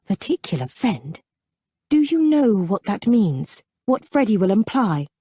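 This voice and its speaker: A woman's voice: medium pitch, big pitch swings, unhurried, mellow, breathy edge, no room sound, pitched above the performer's own voice. A woman as an indignant, proper young lady. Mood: worried protest. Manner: earnest, indignant, hesitant. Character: proud, anxious young society woman, easily indignant.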